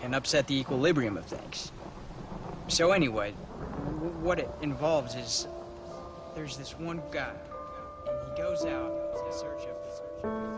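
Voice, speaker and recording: A man's voice: mid-range voice, moderate pace, long pauses, loud music behind the voice, close to an everyday voice. A man playing a cheeky, bookish American teenage boy. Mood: casual rambling. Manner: sarcastic, rambling, youthful. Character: cheeky, bookish teenage son of frontier family. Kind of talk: video game cutscene acting.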